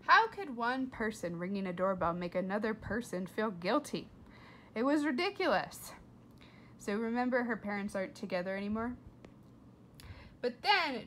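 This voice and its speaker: A woman reading a storybook aloud to children, expressive, with simple explanatory asides. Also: mid-range voice, slight background noise. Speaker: read-aloud host for children.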